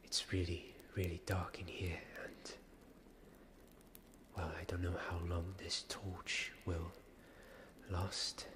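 Young medieval knight, played by a man. Wary, halting, often whispering, low-pitched, for an ASMR fantasy roleplay. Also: level tone. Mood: wary, hushed. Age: young adult. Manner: hushed, halting, breathy whispering.

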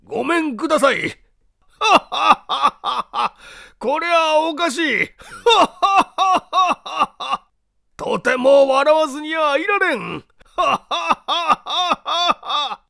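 A man reading an audiobook, voicing an excitable, polite gentleman with an exclamatory manner. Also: extremely high pitch, creaky, pitched above the performer's own voice, heavily acted. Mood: roaring amusement. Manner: exclamatory, mocking politeness, drawn-out guffaws. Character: excitable, polite Japanese gentleman, astonished then heartily amused.

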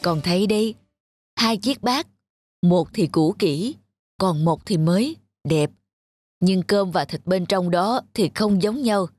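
A woman's voice: fairly low pitch, darkish tone. A woman voicing a patient but firm young father in a children's cartoon, speaking in an earnest, explanatory manner. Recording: music behind the voice. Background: background music.

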